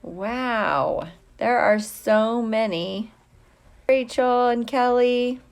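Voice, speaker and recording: Slow, chatty, playful woman teacher on livestream. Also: a little high. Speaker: teacher hosting a livestream for students. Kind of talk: casual livestream chat, greeting viewers by name.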